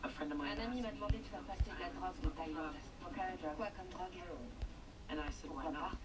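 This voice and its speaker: A woman with a low voice recounts her story in a grave, subdued tone. Clear crowd noise runs behind the documentary voice-over. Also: moderate pace.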